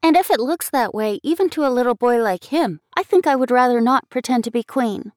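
A woman's voice: on the high side, expressive, fairly quick, a little mellow, no echo, clean studio sound, pitched above the performer's own voice, lightly acted. A woman voicing an earnest young schoolgirl with a petulant streak in a storybook reading, eager and emphatic. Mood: earnest, hesitant.

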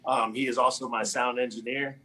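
A man's voice: medium pitch, flat delivery, fairly quick, pushed and bright, slight background noise, rough recording. A man from Florida, a musician guest joining over a video call, talking in a laid-back way with easy laughs. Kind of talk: casual interview. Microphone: remote video call.

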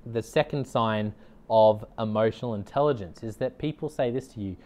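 A middle-aged man with an Australian accent and a somewhat low voice talks straight to his listeners about leadership. He sounds earnest and upbeat, and he repeats his main points for emphasis. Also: moderate pace, a little mellow. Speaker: leadership consultant and podcast host. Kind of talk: direct-to-camera motivational talk.

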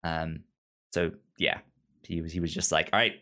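A man's voice: low-pitched, little pitch movement, normal speed, sharp tone. A man co-hosting a podcast in casual review chat, often repeating and restarting words and acting out imagined lines as he explains. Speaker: podcast co-host.

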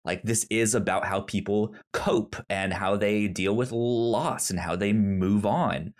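A man's voice: fairly low pitch, fairly even intonation, a little slow. A man co-hosting a podcast, chatting casually about a TV show, often stammering and restarting words. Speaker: podcast co-host. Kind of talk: casual chat reviewing a TV show.

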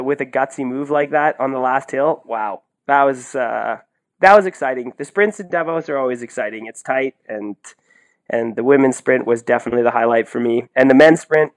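A man co-hosting a sports podcast, talking in an excitable, effusive, slangy way in casual commentary. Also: medium pitch.

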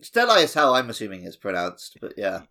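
A man's voice: on the low side, huge pitch swings, fairly quick, pushed and bright. A man co-hosting a podcast, chatting casually and jokingly about a video game. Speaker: podcast co-host. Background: music.

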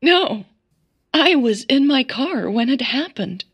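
A woman's voice: sits high, animated, slow, fairly bright tone, slightly rough, no echo, dull audio, pitched above the performer's own voice, full character voice. A woman voicing a frightened, breathless and upset woman on the phone in an audio drama. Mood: panicky. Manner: breathless, panicky, tearful. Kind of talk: audio drama character dialogue.

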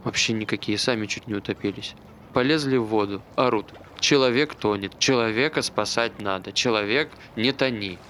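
A man's voice: lowish voice, normal speed, fairly bright tone, lightly acted. An actor playing an anxious, self-deprecating man, talking hesitantly and earnestly in naturalistic stage dialogue. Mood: earnest, wry. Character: anxious, self-deprecating man recounting his failed suicide. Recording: faint background noise.

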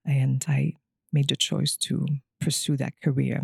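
A woman's voice: a bass voice, little pitch movement, a little slow, gravelly, husky, no room sound, studio quality. A woman telling her life story as a podcast guest, searching for words as she goes. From Quebec, Canada. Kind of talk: personal storytelling interview.

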